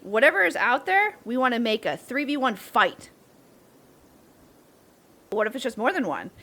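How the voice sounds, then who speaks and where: A playful young woman camper , acted by a woman in an audio drama. Her voice sits fairly high and leaps around with lots of animation. She is bold and excitable, teasing her friends in casual slang. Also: normal speed, exaggerated voice. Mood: excitable, loyal. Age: young adult. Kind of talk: roleplay audio drama acting.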